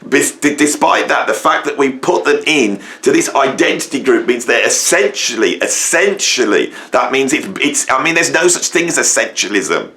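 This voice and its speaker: A middle-aged man from England with a croaky voice, giving an opinionated monologue to camera, rambling and emphatic with many fillers and restarts. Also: mid-range voice, lively intonation, moderate pace, few pauses, pushed and bright. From England, near Birmingham. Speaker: musician and educator, channel host.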